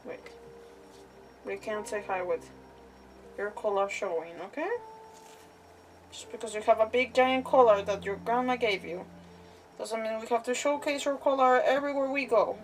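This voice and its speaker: A woman's voice: a little high, steady tone, normal speed, pauses often, distant mic, a little hiss or hum, rough recording. A woman chatting casually and playfully as she livestreams a game. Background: faint game music.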